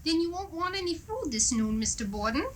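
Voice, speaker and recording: A woman's voice: very high-pitched, big pitch swings, fairly quick. A woman playing a folksy, complaining housemaid in a 1970s community radio drama. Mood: dutiful. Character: plain-spoken, complaining housemaid feeling queasy.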